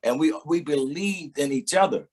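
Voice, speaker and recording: A man's voice: fairly high pitch, moderate pace. A middle-aged man, a singer, talking reflectively in a casual interview, often laughing and repeating words as he starts a thought.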